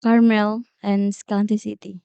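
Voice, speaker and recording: Very soft-spoken shy young woman. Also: mid-range voice, flat delivery, normal speed, gravelly, clear, resonant tone, no echo. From Philippines. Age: 20s. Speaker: guest. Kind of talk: shy interview answers. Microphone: directional studio mic.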